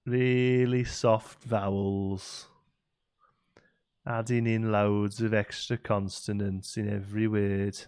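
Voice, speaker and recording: A man's voice: on the low side, flat delivery, slow pace, a little mellow, clear, resonant tone. Chatty West Midlands man streaming a game. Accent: West Midlands English. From Staffordshire, England. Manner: chatty and easygoing, frequent restarts and fillers. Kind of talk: casual live-stream chat, reading and answering chat. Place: home streaming room with green screen.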